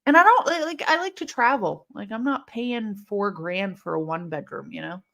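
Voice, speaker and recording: A woman from Illinois hosting a live wrestling watch-along, chatting casually and rambling, with intense, sharp S sounds left from a lisp. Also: medium pitch, lively intonation, moderate pace. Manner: chatty and rambling, heavy use of "like". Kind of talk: casual live-stream chat reading comments.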